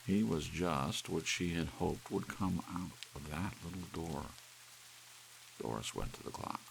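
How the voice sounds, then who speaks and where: A man narrating an audiobook in ASMR style, with soft rain behind him. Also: deep voice, a little slow, vocal fry, a little hiss or hum, poor audio.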